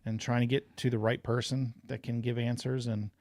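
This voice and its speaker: A man's voice: medium pitch, flat delivery, normal speed, a little mellow. A man co-hosting a podcast, chatting casually and hesitantly, often trailing off and restarting his sentences. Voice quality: says he has a big voice. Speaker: podcast co-host, dice event organizer. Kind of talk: casual chat.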